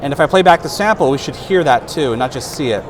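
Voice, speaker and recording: Man demoing software at a noisy booth. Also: fairly high pitch, quick pace. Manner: relaxed, explanatory, casual fillers. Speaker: product specialist demonstrating software. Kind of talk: trade-show product demo. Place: trade-show booth, indoors. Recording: noisy trade-show booth.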